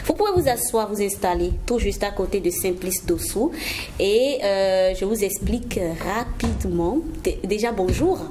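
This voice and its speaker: A woman hosting a TV talk show, running a riddle game with guests in a studio, lively and laughing, reading riddles slowly. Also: medium pitch, slight background noise. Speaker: TV show host. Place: TV studio set.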